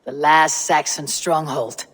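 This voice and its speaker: Game casting: seasoned Norse warrior, wary and blunt, raspy higher register, long reverb. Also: male voice, steady tone, slow, a bit bright, heavily acted. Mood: wary, measured. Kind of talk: video game cutscene acting.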